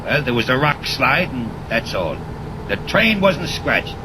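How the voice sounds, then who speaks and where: An actor playing a rough, slangy henchman in 1940s radio acting. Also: medium pitch, very animated, unhurried. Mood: sullen. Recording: old radio recording, noisy.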